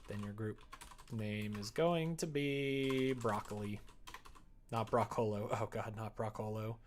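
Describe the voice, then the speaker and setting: Male streamer, unhurried and gravelly, casual thinking-aloud chat, keyboard clicks behind. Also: lowish voice, slight background noise. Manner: chatty, mutters to himself while working. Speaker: live streamer, host.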